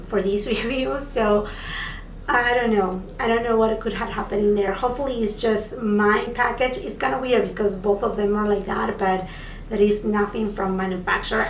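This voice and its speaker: Slightly raspy woman in an echoey room. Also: on the high side, slight background noise. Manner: chatty, addresses viewers as friends. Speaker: beauty vlogger. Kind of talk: product review vlog. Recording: echoey room, mic at a distance.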